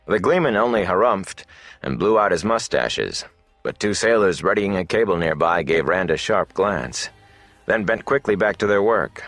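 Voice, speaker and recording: A man narrating a fantasy novel as an audiobook, in a measured, dramatic storytelling manner. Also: low-pitched, expressive, a bit bright, no room sound, soft background music.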